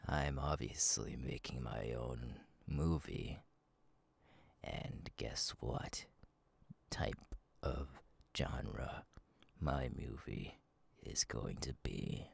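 A man voicing a sadistic masked killer in an ASMR roleplay, husky and taunting, slow and halting, often dropping to a whisper. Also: rumbling low, dry recording, exaggerated voice. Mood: smug, menacing. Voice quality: husky, gravelly. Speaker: voice actor, ASMR artist. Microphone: close, dry microphone.

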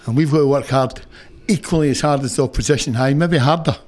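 Blunt older Scottish football manager. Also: male voice, mid-range voice, fast, darkish tone. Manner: blunt, annoyed, frank. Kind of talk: post-match interview. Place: indoor press room before a sponsor backdrop. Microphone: stand mic close in front.

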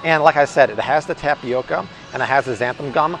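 A man's voice: medium pitch, fairly quick, fairly bright tone, faint music behind, rough recording. An American man in his thirties, upbeat and chatty, giving a casual, enthusiastic product review as a vlog host in a supermarket. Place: large supermarket aisle, indoors. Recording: clear store ambience and hum.

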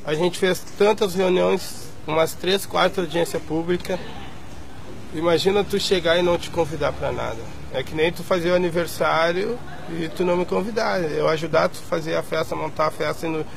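A man's voice: on the high side, normal speed, creaky, a little hiss or hum, rough recording. A man in his thirties, an activist in an interview, talking earnestly and airing grievances with many tag questions.

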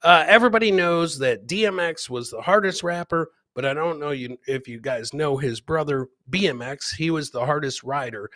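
A man's voice: fairly high pitch, moderate pace, hardly any pauses, a bit bright, no echo. A middle-aged man doing casual, jokey live commentary on video clips as a comedian host, often repeating his catchphrases. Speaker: comedian hosting a livestream clip show.

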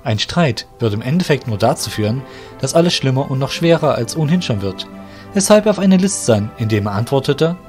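A man narrating an audio drama in a steady, measured way. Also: mid-range voice, soft background music.

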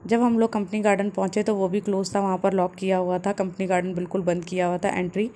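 A woman, calmly narrating a family travel vlog as a voice-over. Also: mid-range voice, fast. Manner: calm, explanatory, steady. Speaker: travel vlogger.

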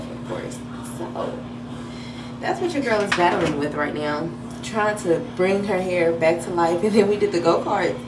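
Woman, 20s, chatty lifestyle vlogger, fresh from a nap, recorded off a distant camera in a roomy-sounding bathroom with loud room hiss. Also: on the low side. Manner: chatty, laid-back, slangy, talking while styling her hair. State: a little deeper than usual after a nap. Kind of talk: casual vlog chat. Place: hotel bathroom, indoors, small. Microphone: camera on the counter at arm's length. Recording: echoey bathroom, mic at a distance.

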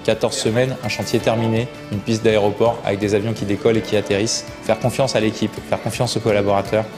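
A man in his thirties with a low voice talks in a measured, reflective manner as the client's project manager. He speaks in a site office, with clear music under him. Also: level tone. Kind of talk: documentary interview. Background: background music.

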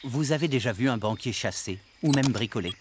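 An actor playing an eccentric, polite middle-aged ex-banker turned forest hermit in a cartoon, speaking formally and sententiously. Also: male voice, medium pitch, lively intonation, brisk, a little hiss or hum, natural voice. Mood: calm, earnest. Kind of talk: animated series dialogue. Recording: music behind the voice.

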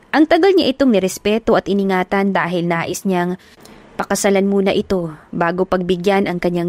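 A woman narrating a romance audiobook in a steady, earnest storytelling manner, recorded on a close mic. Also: medium pitch, no echo.

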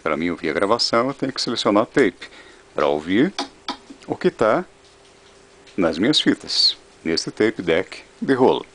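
A man's voice: fairly low pitch, big pitch swings, moderate pace, slight background noise. A man presenting a product demonstration as a vintage audio seller, recorded indoors. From Brazil. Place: indoor room. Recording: music playing over the voice. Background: loud guitar music.

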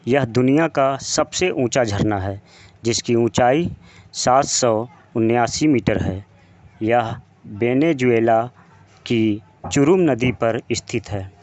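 A man narrating a countdown video as a voice-over, in slow, measured scripted narration. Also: mid-range voice, a little mellow, no room sound. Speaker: channel narrator.

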